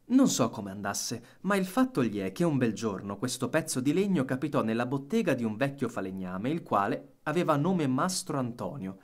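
A man reading a children's story aloud as an expressive audiobook narrator. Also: medium pitch.

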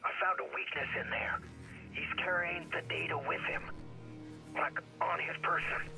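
An actor voicing a cocky, crude, easygoing middle-aged hacker in a video game, folksy, slangy and wisecracking. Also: male voice, on the high side, fairly even intonation, normal speed, vocal fry, exaggerated voice, rough recording. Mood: conspiratorial. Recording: loud music over game dialogue.

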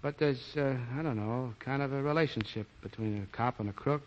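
A man plays a plain-spoken tough cop with a soft heart in a 1940s radio drama. His talk is blunt and slangy, and the broadcast sounds dull and muffled. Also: mid-range voice, a little fast, close to an everyday voice. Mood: blunt, probing.

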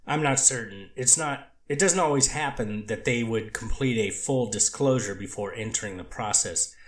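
A man's voice: mid-range voice, moderate pace, close to an everyday voice. Guy reading a careful therapist witness, lots of restarts, echoey. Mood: cautious testimony. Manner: hesitant, restarts, clinical wording. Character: cautious male treatment counselor on the stand. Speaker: witness, treatment counselor. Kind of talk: courtroom transcript reading.

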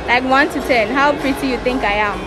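Playful high-voiced woman vlogger. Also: brisk, low-quality recording. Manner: playful, giggly, teasing. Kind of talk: casual street interview. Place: busy indoor shopping mall. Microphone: handheld phone. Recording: loud music and crowd behind.

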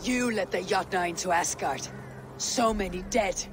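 High-pitched, commanding warrior god. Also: male voice, noisy background. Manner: formal, measured, authoritative. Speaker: video game protagonist. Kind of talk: dramatic fantasy game acting.

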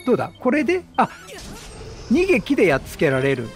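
A man giving excitable, chatty video game commentary, exclaiming often and playfully mimicking the characters. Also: sits high, a little fast, faint music behind. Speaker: gameplay streamer.

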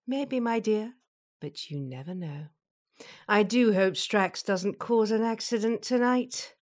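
A woman playing a calm, motherly figure in an audio drama, measured, formal and gently reassuring. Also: medium pitch. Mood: affectionate. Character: calm, composed, motherly female reptilian detective. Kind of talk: fan audio drama acting.

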